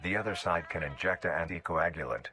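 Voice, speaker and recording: A man's voice: deep voice, quick pace, sharp tone, faint music behind. A man reading scripted documentary-style narration for a horror video in a formal, clinical way.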